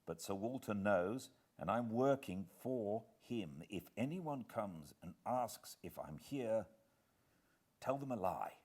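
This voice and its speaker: A British man voicing an urgent, hunted man confiding in secret in a hushed whisper, in audiobook style. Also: fairly low pitch, animated, takes it slowly, breathy edge, heavily acted. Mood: urgent, secretive. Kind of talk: audiobook character acting.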